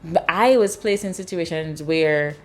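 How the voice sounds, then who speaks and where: A woman's voice: a little slow, faint music behind. A woman in her thirties with a Jamaican accent, hosting a casual chat and telling stories with acted-out dialogue. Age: 30s. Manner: animated storyteller, acts out dialogue in high voices. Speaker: host.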